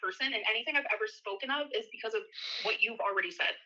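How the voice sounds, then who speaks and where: A woman's voice: a little high, rapid-fire, bright, forceful tone, dull audio. A woman speaking bluntly in a casual, confrontational phone conversation, recorded from the call. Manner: blunt, swearing.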